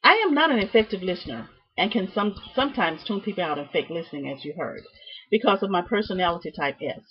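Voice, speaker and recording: A woman giving a prepared student presentation, reading from notes with deliberate, emphatic phrasing and halting repeats. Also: fairly low pitch, expressive, slightly rough. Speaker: student presenting a class project. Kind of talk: prepared speech, read from notes. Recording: dull, muffled lo-fi sound.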